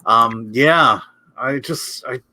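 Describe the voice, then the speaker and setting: A man's voice: medium pitch, slow pace, sharp tone. A man chatting casually as a film critic, speaking hesitantly and often repeating his words as he reviews.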